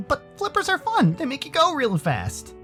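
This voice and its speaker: A man's voice: extremely high pitch, huge pitch swings, normal speed, a bit bright, faint music behind, pitched above the performer's own voice, full character voice. A man voicing an awkward, chatty young man in a visual novel, in a casual, hesitant way. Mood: eager, chatty. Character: awkward, chatty, easily impressed young male house guest. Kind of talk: visual novel character dialogue.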